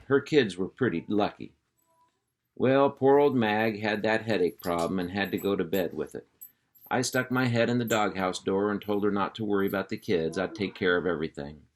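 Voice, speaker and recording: A man reading a children's chapter book aloud in an easygoing, folksy storytelling manner. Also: sits low. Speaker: reader.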